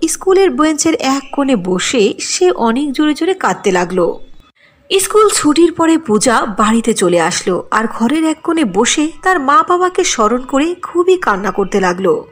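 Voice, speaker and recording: Female storybook narrator: high voice, very lively melody, scripted delivery. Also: normal speed. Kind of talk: scripted fairy-tale narration.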